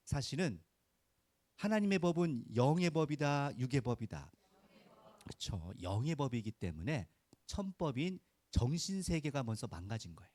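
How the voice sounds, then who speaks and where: A man's voice: mid-range voice, big pitch swings, moderate pace, darkish tone, no echo. A man preaching a conversational Sunday sermon as a pastor, in a folksy, informal way, often putting short rhetorical questions to his listeners. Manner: asks rhetorical questions and prompts listeners for answers.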